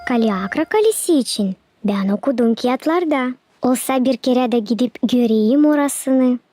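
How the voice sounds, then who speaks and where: A woman voicing an earnest, curious young girl in an animated cartoon. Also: fairly high pitch, moderate pace, dry recording, natural voice.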